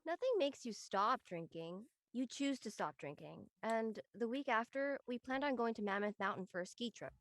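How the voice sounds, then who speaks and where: A woman's voice: a little high, expressive, moderate pace, few pauses. A woman playing an earnest, protective, easily exasperated young college student in an audio drama. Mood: dry. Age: young adult. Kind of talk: acted audio drama dialogue.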